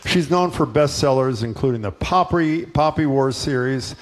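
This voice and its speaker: A man's voice: medium pitch, moderate pace. A man hosting a broadcast interview with a playful, self-deprecating manner as he introduces his guest. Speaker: show host.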